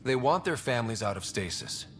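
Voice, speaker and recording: Earnest, expressive young space leader. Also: male voice, fairly quick. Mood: concerned. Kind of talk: video game dialogue acting. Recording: faint background rumble.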